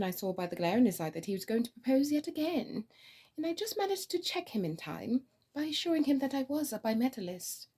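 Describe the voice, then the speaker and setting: A woman's voice: fairly high pitch, warm tone, breathy edge, pitched above the performer's own voice. A woman playing a flighty, witty young society lady in a stage comedy, breezy and mock-indignant. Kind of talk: theatrical comedy of manners.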